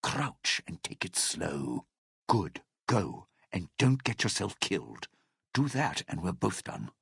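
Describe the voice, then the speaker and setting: A gravelly, cynical game schemer. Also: male voice, low voice, sing-song, slow, a bit bright, no echo, clean studio sound, heavily acted. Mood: cold, menacing. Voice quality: gravelly, raspy. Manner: wry, theatrical, hesitant muttering turning to threats. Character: cynical, self-serving male lounge singer, slick and menacing. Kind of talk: video game character dialogue.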